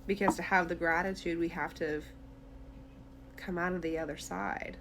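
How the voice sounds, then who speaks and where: A woman's voice: on the low side, fairly even intonation, moderate pace, a bit bright, slight background noise. A woman tarot reader speaking directly to the listener in a conversational, reassuring, therapy-minded way during an intuitive reading.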